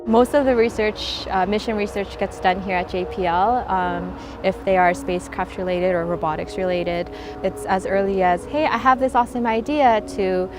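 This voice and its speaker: A woman's voice: medium pitch, fairly even intonation, moderate pace. A woman talking casually about her work, chatty and enthusiastic, with filler words. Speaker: visual strategist. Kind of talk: casual interview talk. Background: music.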